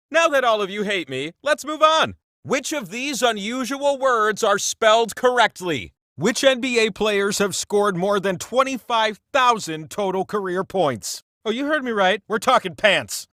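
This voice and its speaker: Exuberant, high-voiced game-show wheel host. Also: male voice, a bit bright, dry recording, clean studio sound. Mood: excited, playful, then wry, confiding. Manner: theatrical, playful, mock-grand delivery with comic asides. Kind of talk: scripted game show hosting.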